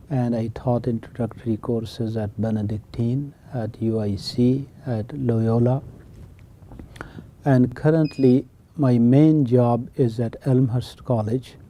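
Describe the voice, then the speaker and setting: An older man with a Pakistani accent, a professor explaining his views in an interview in an emphatic, deliberate manner. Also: medium pitch, mellow, a little hiss or hum. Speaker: professor of Islamic studies.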